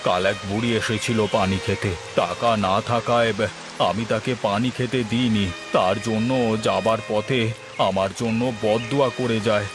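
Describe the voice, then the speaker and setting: A man voicing a gruff village husband who sells water in a moral-tale cartoon. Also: fairly low pitch, fairly even intonation, normal speed, music in the background, close to an everyday voice. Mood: alarmed, worried.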